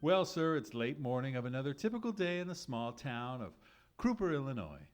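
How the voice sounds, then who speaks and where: A man in the role of an old-time radio announcer. His medium-pitched voice rises and falls widely, with theatrical emphasis and polished scripted delivery. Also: big pitch swings, fairly quick, faint music behind. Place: live theatre stage.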